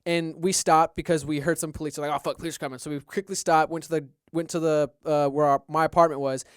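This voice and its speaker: A young man with a high, smooth voice tells a story on a casual podcast. He rambles, restarts his sentences and quotes what he said back then. The sound is dry and close. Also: flat delivery, fast talker, no echo. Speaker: podcast co-host.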